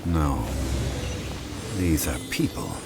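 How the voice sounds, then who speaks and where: Composed ancient sage; very deep, melodic, unhurried formal delivery, score underneath. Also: male voice, animated, vocal fry, full character voice. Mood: calm. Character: calm, wise, ancient male consultant. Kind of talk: video game voice acting. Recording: game audio with loud music.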